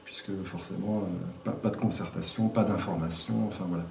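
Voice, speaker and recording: A man answering interview questions as a village mayor, speaking informally and haltingly as he searches for words. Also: low-pitched, steady tone, creaky, a little mellow, soft background music. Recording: echoey room, distant mic, faint noise.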